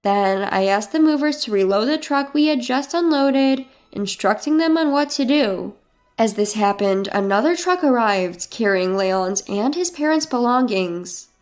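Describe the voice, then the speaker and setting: A woman's voice: fairly high pitch, animated, measured pace, darkish tone. A woman reading a scripted first-person dramatic story as its narrator. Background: background music.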